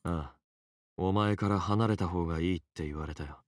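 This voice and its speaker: A male actor plays a cool, defiant young man in a visual novel. The voice is low and dry, with blunt, quick, accusing delivery. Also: no echo, lightly acted. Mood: cool, terse. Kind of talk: Japanese visual novel voice acting.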